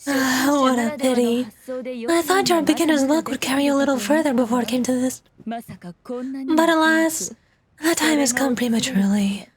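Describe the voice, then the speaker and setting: A woman's voice: high-pitched, moderate pace, a crowd in the background, close to an everyday voice. A woman playing a sly, composed, teasing fox-shrine lady in a video game, with a theatrical, self-assured delivery. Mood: mock lament. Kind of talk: video game character dialogue. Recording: background music under game dialogue.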